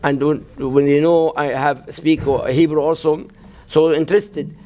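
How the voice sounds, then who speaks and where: Halting older man from Gaza. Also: fairly high pitch, steady tone, mellow. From Gaza, Palestine. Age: 60s. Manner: halting, broken English with many restarts. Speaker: imam, guest. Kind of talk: personal account in an interview. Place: mosque event.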